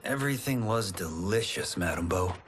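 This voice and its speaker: A man's voice: sits low, expressive, moderate pace, noisy background. A man voicing a polite, cautious and modest young martial artist in a video game, courteous and earnest. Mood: friendly, earnest. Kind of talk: video game cutscene acting. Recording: loud cinematic music behind the voice.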